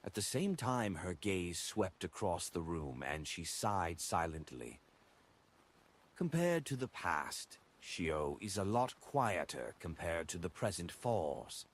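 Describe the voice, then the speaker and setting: Male audiobook narrator, low and husky, lively intonation across scripted fiction. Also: slow pace.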